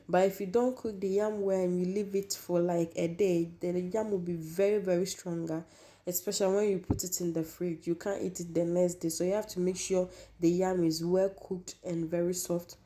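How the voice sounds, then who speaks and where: A woman hosting a cooking tutorial, hoarse from losing her voice, chatty and repeating herself as she talks to viewers. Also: on the low side, dark tone. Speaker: cooking channel host.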